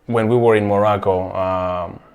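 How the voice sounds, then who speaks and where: A man's voice: lowish voice, fairly even intonation, moderate pace, smooth. A young man born in Rwanda, hosting a podcast and chatting casually, often restarting his sentences. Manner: chatty, self-correcting, frequent restarts. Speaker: podcast host. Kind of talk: casual conversation.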